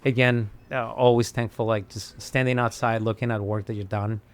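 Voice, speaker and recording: A Spanish-speaking man from Colombia with a Colombian accent, chatting as a podcast guest in an animated way, laughing and restarting often. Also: medium pitch, moderate pace, clear, resonant tone. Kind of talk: casual conversation.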